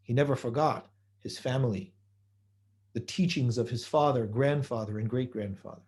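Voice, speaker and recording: A man giving a measured holiday sermon, building his points through repeated phrases and rhetorical questions. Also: medium pitch, darkish tone. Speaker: rabbi giving a holiday talk.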